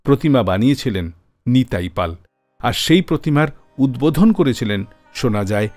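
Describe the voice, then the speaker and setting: A male radio host with an Indian Bengali accent delivers scripted narration at a middle pitch. The sound is very dry, with soft music behind him. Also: animated, moderate pace, no echo.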